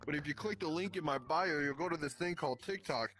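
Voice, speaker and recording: A man's voice: fairly high pitch, fast talker, a bit bright, low-quality recording. A young man in casual game chat, trading crude banter in a profane, mouthy way. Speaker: social VR game player. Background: music.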